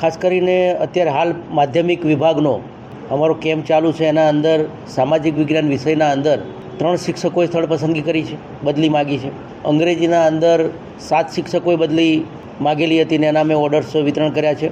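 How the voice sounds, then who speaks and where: Mellow higher-voiced man over street noise. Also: little pitch movement, a little fast. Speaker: district education officer. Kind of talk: explanatory interview statement. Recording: dull, noisy outdoor-like field recording.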